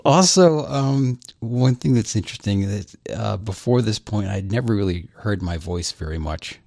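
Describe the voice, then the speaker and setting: Male podcast co-host, husky, dry close-mic sound, rambly and self-mocking. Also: on the low side, expressive, normal speed, a little mellow, no room sound. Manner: rambling, self-deprecating, many restarts. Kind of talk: casual chat. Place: home studio, recorded locally over Skype. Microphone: large-diaphragm dynamic mic, close.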